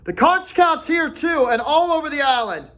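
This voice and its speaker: A man's voice: very high-pitched, very animated, normal speed, a bit bright, voice pushed up, heavily acted. A man voicing an anxious boy chief who pleads and insists in a strained voice.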